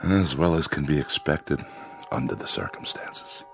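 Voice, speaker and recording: A man's voice: extremely low pitch, measured pace, gravelly, a bit bright, heavily acted. An actor playing a stuffy, controlling husband and father in a radio drama, with a prim, clipped, bossy manner. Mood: falsely solemn. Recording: radio drama with background music.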